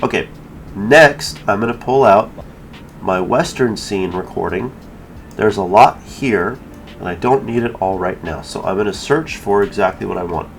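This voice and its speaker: A man hosting a casual software tutorial, explaining each step in an informal way. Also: lowish voice, a little hiss or hum. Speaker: tutorial host. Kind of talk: casual screen-recorded software tutorial narration.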